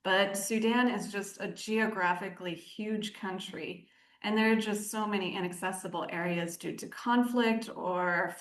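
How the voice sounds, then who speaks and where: A woman giving measured, articulate explanations as an aid director interviewed on the radio. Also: mid-range voice, fairly bright tone. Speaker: aid organisation country director, interview guest. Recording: roomy, echoing sound.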